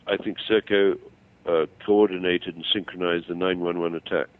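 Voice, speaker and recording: An older man explaining his views over the phone, self-assured but halting and digressive. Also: low-pitched, steady tone. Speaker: phone-in guest. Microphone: telephone. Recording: tinny narrow-band phone call.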